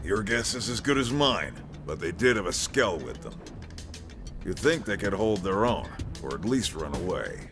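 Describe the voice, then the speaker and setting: An actor playing a sardonic, folksy military commander in a video game cutscene. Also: male voice, sits low, very animated, normal speed, over music. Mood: businesslike. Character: gruff, wisecracking male military commander. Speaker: commanding officer briefing recruits.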